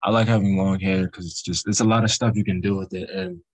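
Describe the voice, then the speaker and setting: A teenage boy with a very deep voice, chatting casually in a group about his hair and himself in a relaxed, rambling way. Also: fast, no echo. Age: teens. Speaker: student.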